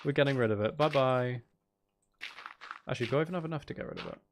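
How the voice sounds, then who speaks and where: A man with a middling pitch and a noticeably creaky, fry-edged voice. He talks casually as a live game streamer, tossing playful, teasing banter to his chat. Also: quick pace, a little hiss or hum. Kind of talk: casual gaming livestream chat.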